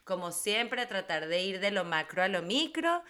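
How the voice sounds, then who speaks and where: Bright-voiced woman podcaster. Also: mid-range voice, big pitch swings, normal speed, smooth. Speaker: podcast co-host. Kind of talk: casual conversational podcast talk.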